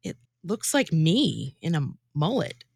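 A woman's voice: deep voice, big pitch swings, slow pace, vocal fry, husky, dry recording. A woman performing scripted comic radio theatre, narrating in a theatrical storytelling manner. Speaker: former co-host and narrator.

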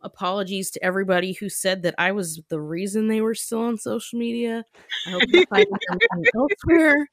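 A woman's voice: medium pitch, fairly quick, gravelly, no echo. A woman podcast host chatting casually and self-deprecatingly, often laughing. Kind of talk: casual chat.

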